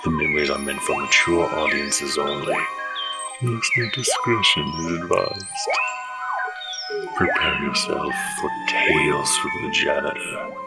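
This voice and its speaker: An actor playing an ominous, portentous announcer who introduces a scripted horror anthology. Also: male voice, a bass voice, expressive, a little slow, loud music behind the voice, heavily acted. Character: ominous deep-voiced announcer opening horror tales.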